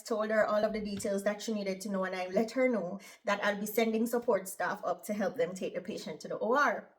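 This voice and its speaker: A woman from Jamaica with a Jamaican accent, a nurse chatting casually to her viewers and often asking "right?". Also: on the high side. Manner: chatty, opinionated, tags lines with "right?". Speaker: operating room nurse. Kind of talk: casual vlog storytelling.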